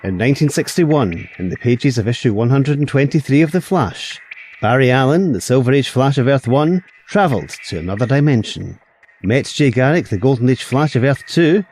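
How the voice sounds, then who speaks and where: Man, medium pitch, lively intonation, scripted dramatic promo, podcast co-host, faint noise. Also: moderate pace.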